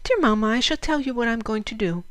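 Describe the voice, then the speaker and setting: A woman's voice: fairly high pitch, animated, quick pace, dry recording, pitched above the performer's own voice, natural voice. A woman voicing a weary, homesick eleven-year-old servant girl reading out her letter, plaintive and earnest, in a storybook reading. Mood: weary, despairing.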